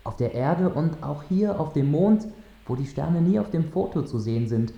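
A man playing a measured mission control lecturer, giving a formal address in a radio drama. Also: fairly high pitch, expressive, vocal fry. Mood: solemn, official. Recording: dull, echoing transmission sound.

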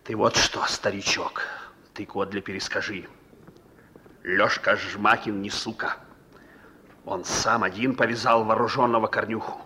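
An actor playing a young reformed thief learning to drive, defiant and hot-tempered, gruff and slangy, in a Russian radio drama. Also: male voice, on the low side, steady tone, a little slow, long pauses, sharp tone, heavily acted. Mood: guarded, stern. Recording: old radio play recording.

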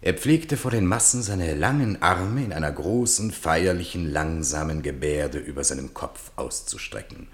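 A man's voice: sits low, lively intonation, moderate pace, hardly any pauses. A man reading scripted literary narration for a radio play in a solemn, rhetorical manner.

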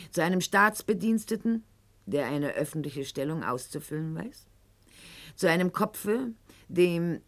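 An actress playing a proud, calculating eighteenth-century noblewoman in a radio drama monologue, with formal, haughty and eloquent diction addressed to her husband. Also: lowish voice.

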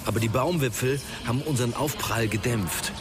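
A man's voice: mid-range voice, a little fast, music in the background, close to an everyday voice. A man acting a reflective author recounting his survival in naturalistic radio drama dialogue. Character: male author and plane crash survivor, reflective. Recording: noisy public ambience behind.

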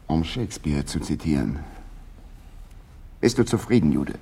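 An actor in a radio drama playing a contemptuous bully who taunts and sneers. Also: male voice, a bass voice, expressive, a little slow, creaky, mellow, breathy edge, full character voice. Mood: sneering.

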